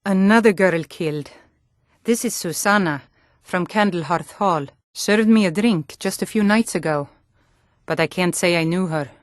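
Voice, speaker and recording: A woman's voice: on the low side, moderate pace, dry recording, clean studio sound, natural voice. An actress playing a stern, world-weary female Nord guard in a fantasy video game, speaking in a blunt, matter-of-fact way. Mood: grim.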